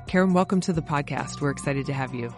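A woman's voice: deep voice, quick pace, darkish tone, soft background music. A woman hosting a podcast, reading prepared introductions and putting questions to a guest. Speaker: podcast host, interviewer.

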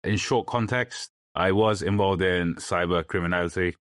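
Young guy, super deep low voice, dry close sound, just talking it through plainly on a podcast. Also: moderate pace, a bit bright, dry recording. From London, England. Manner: plain, matter-of-fact explaining with loose restarts. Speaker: guest. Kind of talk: podcast interview answers.

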